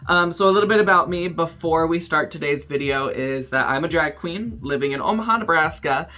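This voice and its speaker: A man in his twenties, a drag queen, talking through his makeup routine in a casual, self-mocking way, with lots of ums and quick giggles. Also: a little high, sharp tone, soft background music. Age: 20s. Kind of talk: casual makeup tutorial commentary.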